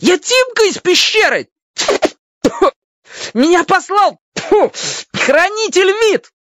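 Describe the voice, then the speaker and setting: Man doing an excitable, high-voiced boy. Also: takes it slowly, no room sound, clean studio sound, exaggerated voice. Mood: panicked, spluttering. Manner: exclamatory, muttering to himself. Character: determined, excitable boy adventurer talking to himself. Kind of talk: dramatised children's audiobook.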